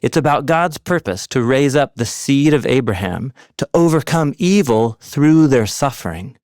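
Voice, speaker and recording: Male educational narrator, medium pitch, teacherly but informal, scripted read, very dry studio sound. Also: animated, normal speed, darkish tone, no echo. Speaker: narrator of an animated Bible overview. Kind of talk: scripted explanatory narration with conversational asides. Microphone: close studio voice-over mic, dry.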